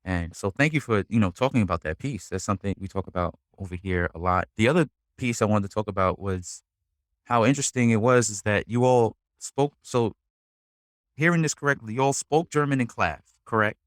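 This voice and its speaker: A man with a fairly low voice hosts an interview podcast. The sound is dry and free of room echo. He talks informally and affirms his guest, restating points in a casual, conversational way. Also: a little fast. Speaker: podcast host.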